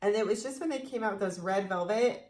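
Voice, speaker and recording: A woman's voice: medium pitch, fast talker, a little mellow. An American woman in her thirties chatting casually and playfully with her partner as co-host of a fan video. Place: indoors in front of a logo backdrop.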